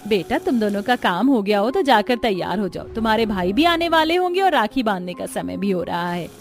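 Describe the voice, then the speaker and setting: A woman's voice: mid-range voice, animated, a little fast, natural voice. A woman playing a caring, practical mother-in-law in a cartoon family story, motherly and instructing. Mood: kindly, instructing. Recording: music bed behind the voice.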